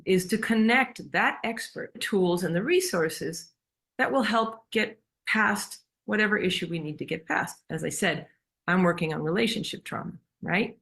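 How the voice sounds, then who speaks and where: A woman's voice: mid-range voice, moderate pace, vocal fry, a bit bright, husky. A middle-aged American woman from New York speaking as an interview guest, explaining her work in a direct, emphatic, conversational way. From New York, United States. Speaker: interview guest, community therapist.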